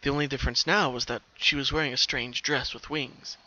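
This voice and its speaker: A man's voice: medium pitch, fairly even intonation, fairly quick, sharp tone, lo-fi sound, slight background noise. A man reading scripted story narration in a dramatic style. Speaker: narrator of fan fiction.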